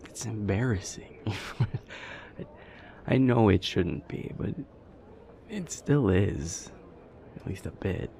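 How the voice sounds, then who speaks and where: A man's voice: on the low side, gravelly, a little mellow, a little hiss or hum, exaggerated voice. A man playing an anxious, self-deprecating vampire boyfriend in an intimate roleplay audio, stammering and rambling, often dropping to a murmur. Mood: embarrassed, sheepish. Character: nervous, self-deprecating immortal male vampire boyfriend, affectionate.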